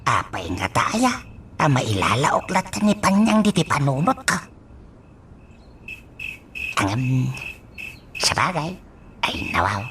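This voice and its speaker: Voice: mid-range voice, sing-song, slow pace, pauses often, fairly bright tone. An actor in an Ilocano radio drama playing a restless, lovesick person lying awake and muttering with sighs and moans, over a noisy track with clicks and insects. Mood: wistful, brooding. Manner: sighing, moaning, exclamatory muttering.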